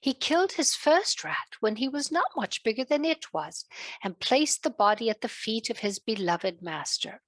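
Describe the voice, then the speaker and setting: A woman narrating a children's story in a gentle, deliberate way, with a British accent that comes and goes. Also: fairly high pitch, expressive, dry recording. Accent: British, fading in and out.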